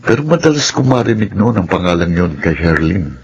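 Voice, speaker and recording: An actor playing a gentle, soft-hearted young man in a Filipino radio drama. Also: sits low, big pitch swings, brisk, gravelly, slightly rough, dull audio, heavily acted. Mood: puzzled.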